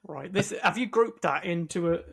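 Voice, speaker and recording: A man co-hosting a podcast, chatting casually with easygoing banter and quick agreeable interjections. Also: high voice, animated, gravelly, husky. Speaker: podcast co-host. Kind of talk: casual chat.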